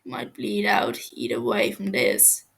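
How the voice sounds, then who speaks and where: Woman doing a menacing calm man, unhurried, flat deadpan threats, creaky voice, story reading. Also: very deep voice, voice pushed down, exaggerated voice. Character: cold, menacing male interrogator, calm and deadpan.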